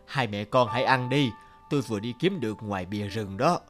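Man voicing a kindly porcupine over loud music. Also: fairly low pitch, brisk, close to an everyday voice. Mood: caring. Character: kindly gentle adult male porcupine neighbor, caring and patient. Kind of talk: cartoon dubbing.

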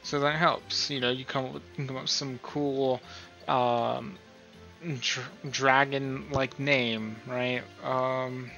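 A man's voice: mid-range voice, flat delivery, slow pace, gravelly, sharp tone. A man giving a casual, unscripted tutorial in a rambling, hesitant, self-deprecating way. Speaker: tutorial host. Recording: dull lo-fi mic, music behind. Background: music.

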